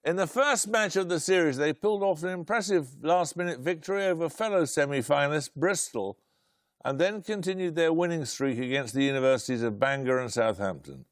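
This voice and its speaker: Guy running a quiz show, bit high pitched, dry wit, crisp delivery, no room echo. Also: expressive. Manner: brisk, dry and wry, precise diction. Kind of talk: formal quiz presenting, reading questions.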